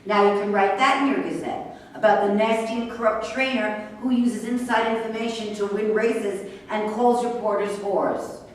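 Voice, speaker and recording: Heard from well back in a very echoey room with faint noise, an actress plays a bitter woman racehorse trainer in a stage drama. Her middle-pitched voice is sarcastic and combative, with hurt under the scorn. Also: fairly even intonation, normal speed, recorded from a distance, lightly acted. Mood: biting. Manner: sarcastic, confrontational. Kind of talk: staged play reading.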